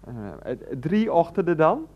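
A man's voice: fairly high pitch, very animated, normal speed. An actor in a Dutch radio play as a grown son pleading and stammering on the phone to his mother. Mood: coaxing. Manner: stammering, coaxing, often interrupted. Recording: dull, band-limited radio recording.

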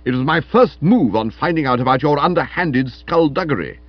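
Casting: blustering young suitor, resonant and loud, stiffly indignant, wildly swooping delivery, slightly dull audio. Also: male voice, huge pitch swings, normal speed, husky, dry recording, exaggerated voice. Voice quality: deep, resonant. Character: pompous, jealous, blustering young man, deep booming suitor. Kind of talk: comic audiobook character acting.

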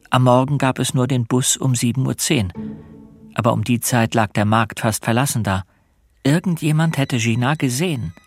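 A man's voice: mid-range voice, close to an everyday voice. A man acting a shy, modest middle-aged bachelor in a radio play, with a hesitant, subdued way of speaking.

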